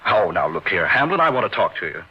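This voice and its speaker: An actor playing an earnest, awestruck young doctor telling a dark tale in a theatrical, emphatic old-time radio style. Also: male voice, sits low, big pitch swings, fast talker, breathy edge, exaggerated voice. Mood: eager, questioning. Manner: theatrical, florid, emphatic delivery. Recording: muffled radio drama recording.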